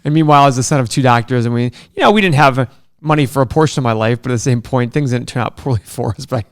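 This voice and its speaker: A man's voice: medium pitch, clean tone, dry recording, studio quality. A man born in Iraq co-hosting a podcast, joking and teasing in casual banter and laughing often. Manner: joking, quick to laugh, playfully skeptical. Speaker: podcast co-host.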